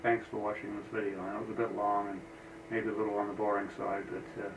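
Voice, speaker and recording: A man's voice: on the low side, little pitch movement, fast. A man with a mixed New Zealand and American accent, an engine builder talking plainly in a shop vlog, with many ums and uhs. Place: office. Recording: echoey room, noisy camera sound with breakup.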